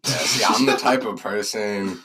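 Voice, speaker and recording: A young man with a naturally very deep voice, chatting casually in slang as a podcast guest. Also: moderate pace, sharp tone, slightly rough. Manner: laid-back slangy talk with laughs. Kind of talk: casual chat.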